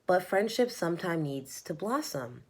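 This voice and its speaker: A woman voicing a gentle, loving mother elephant who reassures her child in a children's storybook. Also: on the low side, sing-song, normal speed, slightly rough. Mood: tender, reassuring. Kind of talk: children's storybook read-aloud.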